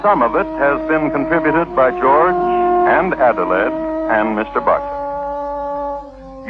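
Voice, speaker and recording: A muffled old radio recording with music behind a solemn crime historian. He narrates drolly and deliberately, with dry theatrical pauses and a very lively, swooping pitch. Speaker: host and narrator.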